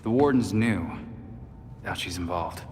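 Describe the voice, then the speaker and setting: A blunt young vigilante. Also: male voice, low voice, a little slow, a little mellow. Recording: cutscene with reverb and noise.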